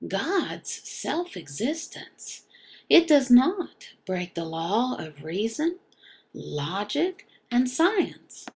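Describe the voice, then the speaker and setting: A woman giving an explanatory religious lesson in short, emphatic, halting phrases, often asking and answering questions. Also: on the high side, very animated, gravelly, a little mellow, slightly rough. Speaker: teacher voicing a signed religious lesson.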